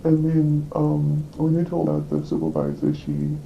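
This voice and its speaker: A man in a recorded workplace meeting, describing what happened to him in a halting, hesitant way with many fillers and restarts. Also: a little high, very animated, vocal fry, highs cut off, slight background noise. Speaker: employee reporting a complaint.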